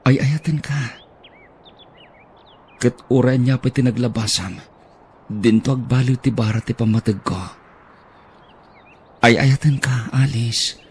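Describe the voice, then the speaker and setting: A man's voice: mid-range voice, fairly even intonation, measured pace, long pauses, slight background noise, natural voice. A man playing a devoted young suitor in a radio drama, speaking tenderly and earnestly with a Filipino accent.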